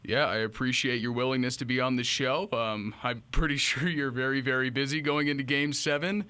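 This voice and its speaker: A man's voice: medium pitch, steady tone, brisk, bright, forceful tone, clear, resonant tone. A young man, hosting a sports podcast interview close on a studio microphone. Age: young adult. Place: small indoor radio studio. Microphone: studio microphone on boom arm, close.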